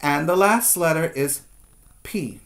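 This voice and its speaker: A middle-aged man giving a preschool lesson as a teacher, with deliberate, repetitive step-by-step instructions, recorded indoors on a webcam. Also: on the high side, expressive, gravelly, a bit bright. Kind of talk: instructional video lesson for young children. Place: small room indoors. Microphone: webcam at desk distance.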